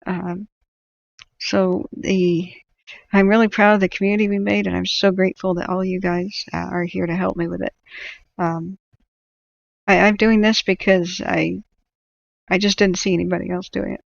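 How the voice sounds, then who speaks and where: A tired woman with a gravelly, creaky voice rambles casually to her stream viewers, stopping often on ums and uhs. Her throat sounds ragged and worn out. The audio is dull and lo-fi, like a home livestream. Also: medium pitch, level tone, dry recording. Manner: rambling, halting, many fillers. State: exhausted. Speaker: wildfire tracking livestream host.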